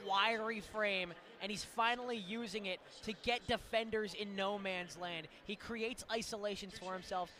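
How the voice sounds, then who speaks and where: A man's voice: high voice, sharp tone. An American man giving conversational, explanatory color commentary on a live basketball broadcast. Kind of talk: live sports analysis. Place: indoor basketball arena. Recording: clear arena noise, bouncing basketballs. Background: game noise and basketball bounces.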